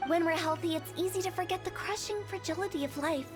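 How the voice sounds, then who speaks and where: A woman's voice: very high-pitched, fast, over music. A woman voicing a playful young funeral director with a mischievous, cheerfully morbid way of talking. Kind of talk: game voice-over.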